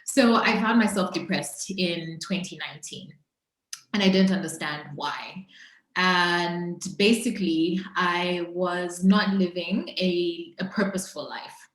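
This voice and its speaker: A woman with a Zambian accent telling her personal story as an interview guest, in a reflective, halting way with pauses mid-thought. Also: on the low side, a bit bright. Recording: roomy, echoing sound.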